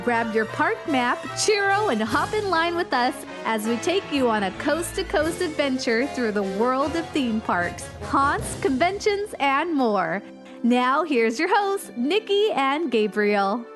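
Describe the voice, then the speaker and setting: A woman reading a scripted podcast intro in a bright, upbeat, sing-song way. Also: sits high, sing-song, unhurried. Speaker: show announcer. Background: music bed.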